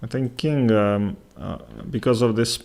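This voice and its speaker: Slow, gravelly Russian-accented man. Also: mid-range voice, darkish tone. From Latvia. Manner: halting, with many fillers and pauses. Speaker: chess commentator. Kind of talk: live chess commentary with chat.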